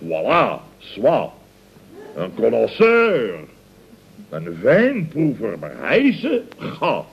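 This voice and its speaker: An actor playing a pompous, easily flustered middle-aged contractor, blustering and stammering in a radio comedy serial. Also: male voice, mid-range voice, wildly swooping pitch, extremely slow, vocal fry, heavily acted. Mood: indignant bluster. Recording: muffled old radio recording.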